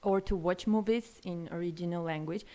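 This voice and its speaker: A woman, a guest in a casual interview, speaking hesitantly with many fillers and pauses. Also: fairly low pitch, mellow. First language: Armenian.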